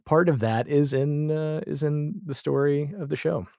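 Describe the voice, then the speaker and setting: A man talking casually as a podcast guest, animated and rambling, with a self-deprecating streak. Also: fairly high pitch, moderate pace, gravelly, no echo, studio quality. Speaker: podcast guest, audio drama creator. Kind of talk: casual podcast interview chat.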